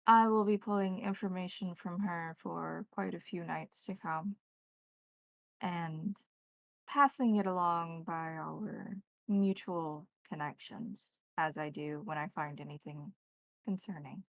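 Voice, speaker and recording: A woman playing a courteous, calculating vampire elder in a tabletop game, speaking formally with slow, careful wording. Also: fairly low pitch, steady tone, creaky, dry recording, low-quality recording. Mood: measured, secretive.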